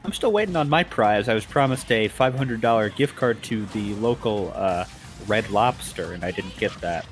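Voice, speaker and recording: A man's voice: fairly low pitch, expressive, normal speed, soft background music. A young man co-hosting a stream, tossing in dry, joking asides. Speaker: stream co-host. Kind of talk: casual banter.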